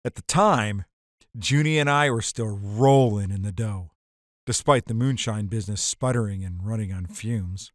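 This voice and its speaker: A man's voice: lowish voice, normal speed, dry recording, clean studio sound, natural voice. A man playing a hardboiled ex-bootlegger who narrates his caper in an audio drama, terse and wry. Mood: wry, reflective.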